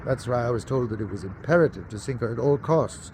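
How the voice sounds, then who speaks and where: An actor playing a troubled, dutiful German U-boat commander in a wartime radio drama, earnest and tense. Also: male voice, medium pitch, lively intonation, fast talker, a little mellow, slight background noise, lightly acted. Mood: uneasy, subdued.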